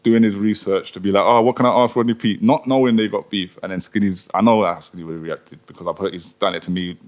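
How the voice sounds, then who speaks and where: A man co-hosting a podcast, telling a story in casual chat full of slang. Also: on the low side. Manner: fast, animated, slangy storytelling. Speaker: podcast co-host.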